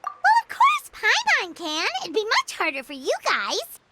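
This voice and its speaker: Very high, squeaky fairy girl. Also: wildly swooping pitch, a little slow, very noisy, exaggerated voice. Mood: excited, boastful. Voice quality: squeaky, childlike. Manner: perky, exclamatory, speaks of self in third person. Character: tiny floating fairy companion girl, bubbly and boastful. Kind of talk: video game character voice acting. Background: loud music.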